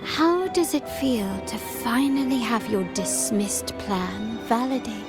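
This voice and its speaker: A woman playing a resolute, calculating young heiress in a game drama, speaking in a measured, deliberate way. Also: on the high side, loud music behind the voice. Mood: mocking, taunting. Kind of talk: dramatic video game voice acting.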